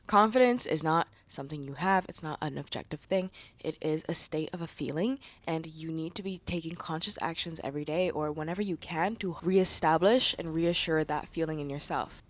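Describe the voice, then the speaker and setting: Woman vlogger, slightly raspy, lower register, casual and confessional. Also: normal speed, a bit bright, no echo. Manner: chatty, candid, slangy. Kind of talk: casual chat to camera. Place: room indoors.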